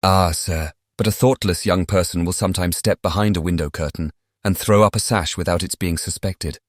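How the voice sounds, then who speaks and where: A man acts out a gallant young gentleman of Regency England with a low British voice. He is polite, eager and persuasive, in a period audiobook drama with very little room sound. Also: steady tone, brisk, dry recording, clean studio sound. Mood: politely persuasive. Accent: British English.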